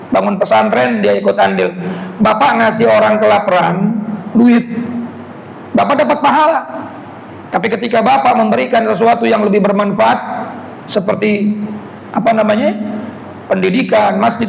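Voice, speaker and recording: A hoarse man, preaching a casual, joking Quran lecture and often asking his congregation to agree. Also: high voice. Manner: joking, asks the audience "betul nggak?". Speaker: preacher giving a Quran commentary class. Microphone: speaker system. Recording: echoey hall with faint noise.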